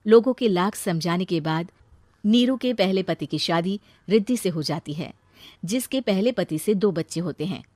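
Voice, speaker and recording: A woman's voice: lowish voice, animated, moderate pace, a little mellow, no room sound, studio quality. A woman narrating a scripted, dramatised story as its storyteller.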